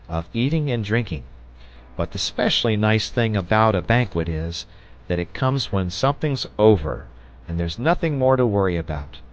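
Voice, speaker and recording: A man reading a children's story aloud as an audiobook narrator, in an expressive storybook style. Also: deep voice. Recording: dull, lo-fi audio.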